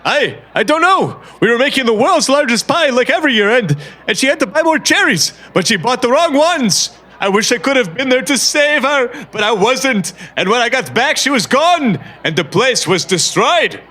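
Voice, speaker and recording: A man's voice: very high voice, sing-song, normal speed, pushed and bright, full character voice. A man acting a distraught, stammering bereaved husband in an audio drama. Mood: grief-stricken. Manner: stammering, overwrought.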